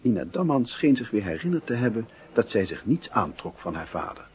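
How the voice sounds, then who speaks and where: A man's voice: on the low side, sing-song, a little fast, darkish tone. A man reading scripted literary narration for a radio drama.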